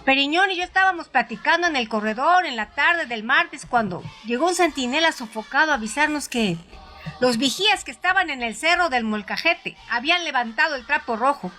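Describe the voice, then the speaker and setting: High, bright-voiced woman narrating a story. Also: lively intonation, a little fast. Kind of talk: dramatized first-person audiobook narration. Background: music.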